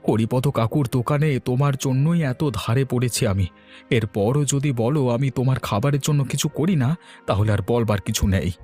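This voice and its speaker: A man's voice: mid-range voice, a little fast, a little mellow, faint music behind, lightly acted. A man playing a henpecked, exasperated husband in a cartoon, complaining and muttering.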